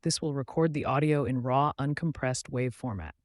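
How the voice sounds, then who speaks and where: A man narrating a scripted tutorial as its host, in a measured, instructional way. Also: mid-range voice, dry recording, clean studio sound.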